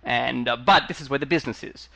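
An Australian man with a bright, forceful voice, talking in a loose, rambling way and laughing easily. His accent carries a slight American tinge. The sound is close and dry, with no room echo. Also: medium pitch, fast talker, gravelly. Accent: Australian, with some American influence. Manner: chatty, laughs often, rambling asides. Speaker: guest. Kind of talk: casual comedic chat.

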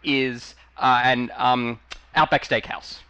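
An Australian man with a bright, forceful voice, talking in a loose, rambling way and laughing easily. His accent carries a slight American tinge. The sound is close and dry, with no room echo. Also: medium pitch, little pitch movement, takes it slowly, smooth, muffled recording. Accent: Australian, with some American influence. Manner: chatty, laughs often, rambling asides. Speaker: guest. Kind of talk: casual comedic chat.

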